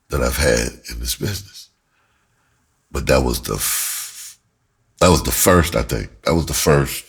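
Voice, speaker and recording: A man's voice: extremely low pitch, breathy edge. A middle-aged American man telling a personal story casually and candidly, in a rambling, slangy way with explicit language. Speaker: storyteller, writer and music producer.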